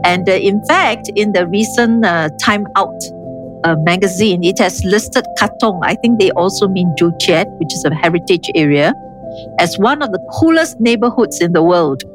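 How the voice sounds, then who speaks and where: An older woman with a Singaporean accent, speaking in a measured, reflective, explanatory way as an interview guest. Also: mid-range voice, expressive, music in the background. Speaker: interview guest, urban planning official. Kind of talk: conversational interview, reminiscing.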